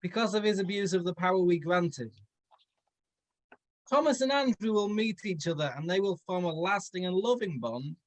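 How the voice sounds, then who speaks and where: Higher-voiced man, theatrical comedy story reading. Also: lively intonation, moderate pace, low-quality recording. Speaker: comedy performer reading fan fiction. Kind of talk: dramatic comedic story read-aloud.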